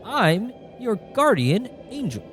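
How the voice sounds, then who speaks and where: Man playing a high, swooping guardian angel. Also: slow, exaggerated voice. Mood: cheerful, chatty. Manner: excitable, cheerfully crude. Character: eager, chatty second-class guardian angel. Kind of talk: comedic parody radio play acting. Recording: music behind the voice.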